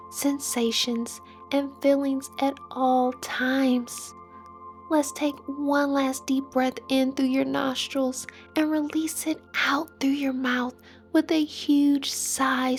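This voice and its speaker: A woman leading a guided meditation in a soothing manner, with phrases that trail off into a breathy near-whisper. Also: sits high, darkish tone. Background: music.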